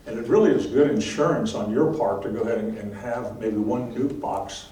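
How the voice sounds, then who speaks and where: A man's voice: on the low side, normal speed, warm tone. Man teaching beekeeping in echoey room. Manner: plain, practical, repeats words and checks in with "okay?". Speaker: beekeeping instructor. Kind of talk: answering class questions. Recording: distant mic in echoey room with faint noise.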